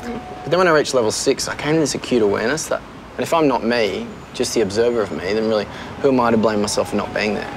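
A man in his thirties brags smugly and quickly about his spiritual growth. His voice is gravelly, and loud street noise from an outdoor cafe sits behind it. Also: mid-range voice. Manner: smug, self-satisfied spiritual bragging. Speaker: comedy sketch character. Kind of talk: scripted comedy sketch.

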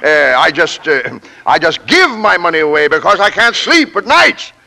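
A pompous American company president in an old-time radio comedy. His voice is fairly high and forceful, swinging widely as he sputters with exasperated sarcasm. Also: big pitch swings, moderate pace, vocal fry, heavily acted. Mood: sarcastic. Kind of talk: 1940s radio comedy acting. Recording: vintage 1940s radio broadcast.

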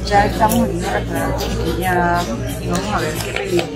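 A woman's voice: lowish voice, normal speed, loud music behind the voice. A woman in her thirties chatting casually to the camera as a vlogger while out and about. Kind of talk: casual vlog chat and commentary. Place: busy restaurant and outdoor shopping promenade.

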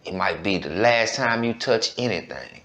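A man's voice: on the low side, lively intonation, normal speed, pushed and bright. A man hosting a reaction show, speaking in casual slangy commentary with repeated, admonishing phrases. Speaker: reaction podcast host.

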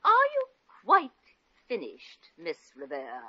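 An actress playing a haughty, affronted titled lady of high society in a 1950s radio comedy. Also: huge pitch swings, slow, breathy edge, dry recording, exaggerated voice. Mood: haughty, outraged. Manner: imperious, affronted. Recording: muffled vintage 1950 radio recording.

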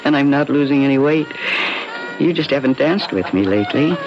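An actress playing a fragile, homesick young wife in a radio mystery drama. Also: female voice, rumbling low, animated, brisk. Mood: polite, light. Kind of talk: 1970s radio mystery acting. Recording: muffled vintage radio broadcast with music.

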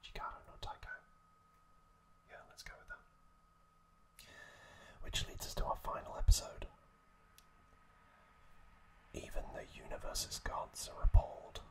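Slow whispering man, ASMR. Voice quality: breathy whisper. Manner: slow, halting phrases with pauses. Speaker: ASMR host. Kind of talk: whispered ASMR storytelling recap. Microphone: close microphone.

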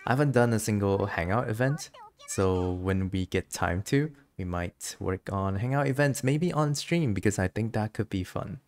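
A man with a fairly low voice talks casually as he streams a game. He is chatty and giggly, commenting in an informal, conversational way. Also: expressive, moderate pace, darkish tone.

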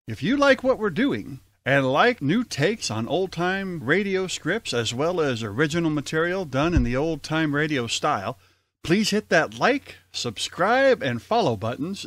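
A male host delivers scripted announcements and comic banter. His voice is on the high side and very animated in pitch. The sound is close and dry. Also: no echo.

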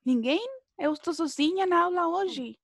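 A woman's voice: extremely high pitch, moderate pace, no room sound, studio quality. A woman teaching a beginner language class over a video call, encouraging pupils and often checking in with short tag questions. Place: indoor room, online video class.

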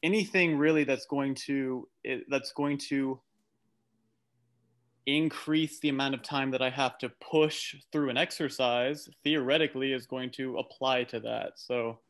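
A man's voice: medium pitch, fairly even intonation, measured pace, fairly bright tone. A man working as a coach, explaining casually on a video call, tentative and thinking aloud. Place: indoors on a group video call.

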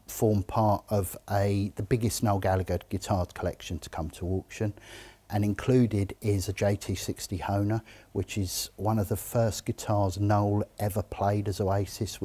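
A middle-aged man who speaks for an auction house, answering interview questions with enthusiasm and measured pauses, in an indoor showroom. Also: fairly low pitch, mellow. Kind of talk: interview answers about collectibles.

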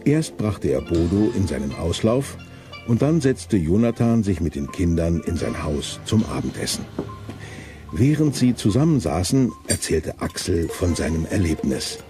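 A man's voice: low-pitched, sing-song, unhurried, over music. A man reads scripted narration as the storyteller of a detective audio drama for young listeners. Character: male storyteller of a youth detective tale.

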